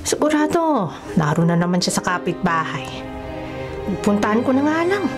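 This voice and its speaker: A woman's voice: medium pitch, very animated, normal speed, lightly acted. Casting: woman playing grumbling household nanny, Filipino accent, radio horror, muttering to herself over music. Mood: exasperated. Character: grumbling household nanny, exasperated by mischievous children.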